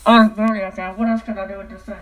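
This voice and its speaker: A woman's voice: mid-range voice, level tone, rapid-fire. A woman vlogging casually at home into her phone, talking through a cardboard tube and ending nearly every sentence with a questioning "okay?". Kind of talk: rambling casual vlog talk. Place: indoors at home.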